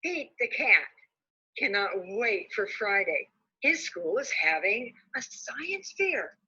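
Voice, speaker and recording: A woman's voice: medium pitch, big pitch swings, slow, sharp tone, slightly rough. A woman librarian reading a children's storybook aloud expressively, on dull lo-fi audio.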